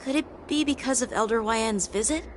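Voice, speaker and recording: A woman's voice: fairly high pitch, normal speed, slight background noise, close to an everyday voice. A woman voicing an earnest young swordswoman in an anime game, proud yet polite and a little hesitant.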